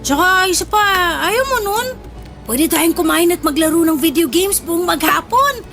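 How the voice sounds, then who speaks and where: A woman's voice: very high voice, expressive, moderate pace, fairly bright tone, exaggerated voice. A woman with a Filipino accent voicing a cheeky, playful younger boy, the favored child, in a radio drama. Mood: sassy. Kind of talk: Tagalog radio drama acting. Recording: music under the voice.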